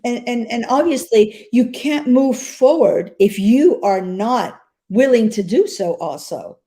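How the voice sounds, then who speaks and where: Earnest woman actor with a mellow voice. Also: fairly high pitch, lively intonation, unhurried. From North Africa. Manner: earnest, emphatic, repeats words while searching for them. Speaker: actress. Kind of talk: casual interview conversation.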